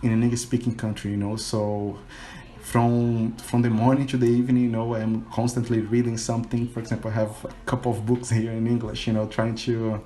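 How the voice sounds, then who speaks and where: A man's voice: mid-range voice, level tone, brisk. Brazilian man chatting on a video call. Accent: Brazilian Portuguese. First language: Portuguese. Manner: warm, chatty, often laughs, fills with "you know". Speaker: English teacher and learner. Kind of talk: casual conversation practice call.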